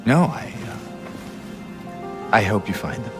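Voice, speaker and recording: A man playing a weary, grieving middle-aged widower searching for his lost wife in a video game, speaking in a hushed, halting, brooding way. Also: fairly low pitch, big pitch swings. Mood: hesitant, resolute. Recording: loud music over the voice.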